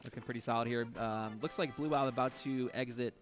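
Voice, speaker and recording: A man doing live commentary on a speedrun, relaxed and chatty, explaining tricks and laughing often. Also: medium pitch, brisk. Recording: music playing behind.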